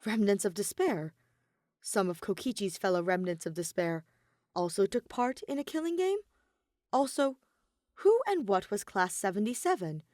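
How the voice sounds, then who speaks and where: A woman narrating fan fiction in an expressive storytelling style. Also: mid-range voice, moderate pace, no room sound, clean studio sound. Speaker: podfic narrator.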